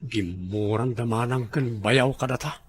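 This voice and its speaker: An actor with an Ilocano accent playing a cheeky, grumbling husband in a comedy drama. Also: male voice, mid-range voice, unhurried, close to an everyday voice. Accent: Ilocano, northern Philippines.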